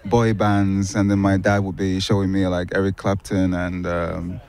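Low-voiced young man from Finland. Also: normal speed. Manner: relaxed, thoughtful, with hesitant restarts. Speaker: musician guest. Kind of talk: casual interview answers. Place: city park outdoors.

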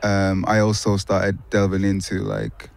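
A young man from Finland, a musician guest answering interview questions in a park in a relaxed, thoughtful way with hesitant restarts. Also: deep voice, a little slow, creaky. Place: city park outdoors.

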